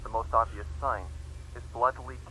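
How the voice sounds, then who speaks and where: A man's voice: mid-range voice, steady tone, normal speed, sharp tone, lightly acted, low-quality recording. A man voicing a grave pony princess scientist who solemnly records a formal plague log in a fan fiction reading.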